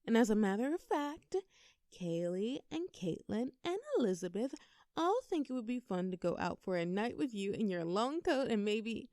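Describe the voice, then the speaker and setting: A woman's voice: fairly high pitch, dark tone, dry recording, clean studio sound. A young woman playing a bubbly, affectionate girlfriend in an intimate audio roleplay, talking playfully and tenderly to her partner in a coaxing, conversational way. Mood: playful, teasing.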